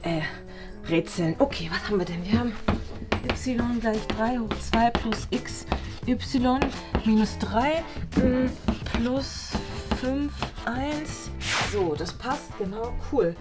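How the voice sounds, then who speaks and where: A woman's voice: a little high, slow. A young woman playing a nerdy, self-satisfied maths teacher in a comedy sketch, muttering contentedly to herself as she works. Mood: absorbed, proud. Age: young adult. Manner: muttering to herself while working, pleased. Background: music.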